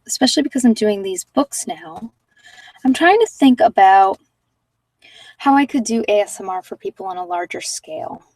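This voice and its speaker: Female ASMR meditation host, casual and rambling, soft-spoken with near-whispered moments, dry sound, slightly off mic. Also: a little high, no echo, distant mic, poor audio. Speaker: host of guided meditation sessions.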